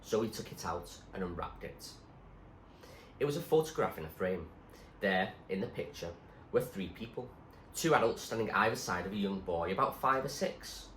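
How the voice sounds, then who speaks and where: A man reading a story aloud as a teacher would, in expressive storybook narration. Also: low-pitched, normal speed. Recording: roomy echo, faint background noise.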